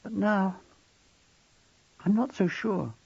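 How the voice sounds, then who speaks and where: An audiobook reader, a man, voicing a frightened, tearful pregnant young wife who talks softly and tremblingly. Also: sits high, takes it slowly, gravelly, husky, dry recording, pitched above the performer's own voice, heavily acted. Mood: tearful, scared. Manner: soft, trembling and sniffly. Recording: dull, dry lo-fi recording.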